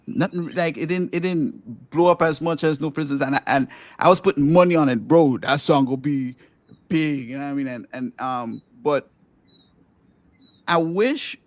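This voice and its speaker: Middle-aged man, light squeaky voice, rambling eager talk, dry close recording. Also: moderate pace, no room sound. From Grenada. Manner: enthusiastic, rambling, many restarts. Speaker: podcast host and musician. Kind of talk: casual podcast interview.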